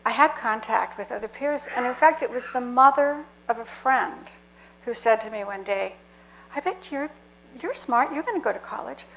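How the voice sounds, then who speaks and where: Gravelly earnest woman on a panel. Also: fairly high pitch, animated, fairly bright tone, slight background noise, rough recording. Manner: earnest, deliberate, reflective. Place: lecture hall panel.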